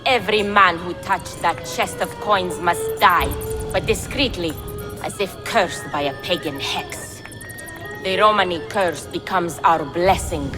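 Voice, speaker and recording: An actress playing a proud, shrewd woman clan leader in a video game, deliberate and slyly teasing. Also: lowish voice, over music, lightly acted. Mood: defiant, scheming. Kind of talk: cinematic video game acting.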